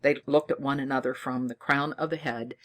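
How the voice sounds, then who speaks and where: A narrator reading a fairy tale in an expressive, theatrical storytelling manner. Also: medium pitch, fairly quick.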